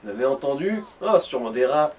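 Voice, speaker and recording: A man's voice: medium pitch, very animated, fast, a little mellow. A man giving casual gaming commentary, explaining things and guiding the player. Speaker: let's play co-commentator. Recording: distant mic, faint noise.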